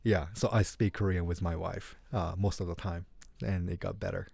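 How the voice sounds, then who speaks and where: A man with a Japanese accent, whose first language is Japanese, telling his story as a guest in a casual interview in a relaxed, unhurried way. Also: low-pitched, vocal fry, husky, no room sound. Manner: relaxed, unhurried, light grammar slips. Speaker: guest musician.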